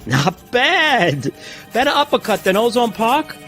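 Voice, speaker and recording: An audio drama figure: an embittered, scrappy comic artist. The voice sits high and moves animatedly, punchy and defiant, with clear music behind it. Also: male voice, big pitch swings, a little slow, heavily acted. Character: scrappy, embittered male comic book artist, street-fighter pride.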